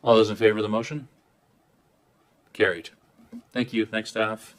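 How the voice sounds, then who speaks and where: A man chairing a council meeting, moving through motions in a brisk, friendly, procedural way. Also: fairly low pitch, steady tone. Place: council chamber.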